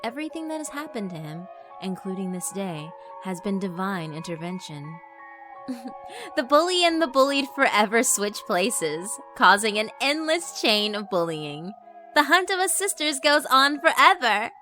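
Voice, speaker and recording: A woman's voice: medium pitch, big pitch swings, normal speed, pushed and bright, soft background music. A woman voicing a sinister spirit girl who gloats and mocks as she feeds on bullying. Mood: gloating. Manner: gloating, mocking, laughs to herself.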